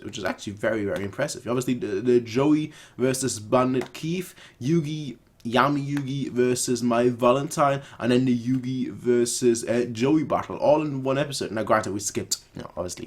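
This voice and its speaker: A man's voice: medium pitch, husky. A man giving casual, off-the-cuff commentary as a reaction host, rambling and emphatic, often repeating phrases to drive home his opinions.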